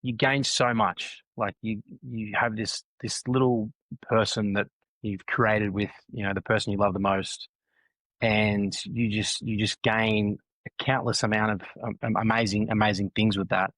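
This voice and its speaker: Australian man, 30s, low voice, reflective podcast guest, dry close mic. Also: level tone, unhurried, fairly bright tone, no room sound, low-quality recording. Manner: thoughtful, restarts phrases often, repeats points for emphasis. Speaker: podcast guest, husband and dad. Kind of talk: casual reflective chat.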